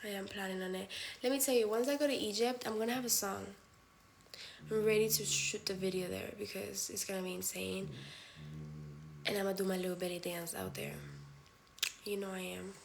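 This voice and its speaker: A woman's voice: mid-range voice, steady tone, fairly quick, gravelly, long pauses, slight background noise. A woman who grew up in Spain, hosting a livestream and chatting with viewers in a candid, blunt, profane way, slipping Spanish phrases into her talk. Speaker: livestream host. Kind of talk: casual live chat with viewers.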